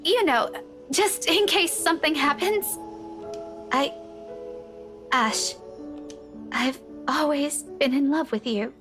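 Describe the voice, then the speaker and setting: A high-voiced young woman in a visual novel drama, earnest and halting. She speaks slowly and sincerely, with music playing underneath. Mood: tender, confessing. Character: earnest young woman confessing love to childhood friend.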